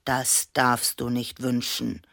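A woman voicing a gentle, gruff, fair-minded male stuffed lion in a children's storybook, calm and mildly reproachful. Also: takes it slowly, no room sound, clean studio sound, exaggerated voice. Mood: disapproving. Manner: calm, reproachful, low growl.

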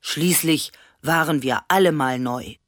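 A woman voicing a gentle, gruff, fair-minded male stuffed lion in a children's storybook, calm and mildly reproachful. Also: a little slow, dry recording, clean studio sound. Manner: calm, reproachful, low growl.

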